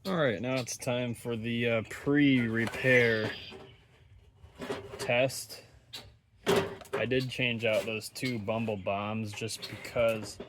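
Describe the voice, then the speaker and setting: A man giving casual, unscripted commentary on hands-on repair work, thinking aloud in an offhand, dryly joking way. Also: on the low side. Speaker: host of radio repair videos. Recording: steady background noise, ambient sound.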